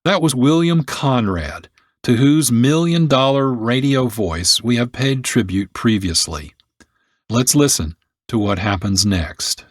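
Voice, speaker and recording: Male radio documentary host, mid-pitched, explanatory scripted read, dry studio-style sound. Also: lively intonation, slow pace, no echo. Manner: explanatory, addressing listeners.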